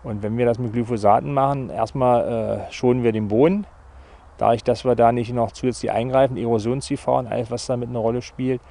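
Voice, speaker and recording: A man with a low, creaky voice and a very flat delivery speaks in an unscripted interview. His tone is dark and matter-of-fact, and he explains haltingly, rephrasing as he goes. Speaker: farmer being interviewed.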